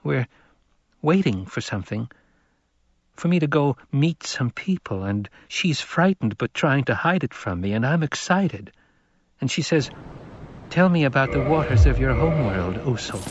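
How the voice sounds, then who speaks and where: A man's voice: medium pitch, animated, moderate pace, slightly rough, no echo, lo-fi sound, voice pushed up. A man voicing an earnest, guarded teenage boy in an audiobook, lighter and dreamy in manner.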